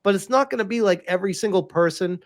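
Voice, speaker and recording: A man co-hosting a gaming podcast in casual chat, animated and quick to laugh. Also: sits high. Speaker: podcast co-host.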